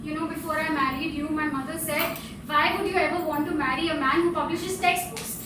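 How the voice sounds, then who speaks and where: Stage play wife, female actor: conversational, gently needling, heavy room echo with noise behind. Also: high voice, fairly even intonation, a little fast, natural voice. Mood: dry teasing. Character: chatty, gently needling wife of a textbook publisher. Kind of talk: live stage play acting. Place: indoor theatre stage, live performance. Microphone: distant microphone. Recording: distant, very echoey theatre, background noise.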